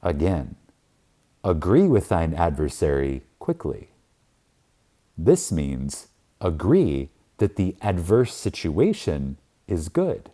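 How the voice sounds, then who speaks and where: A man narrating a spiritual audiobook in a reverent, emphatic manner with deliberate dramatic pauses. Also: sits low, wildly swooping pitch, dark tone.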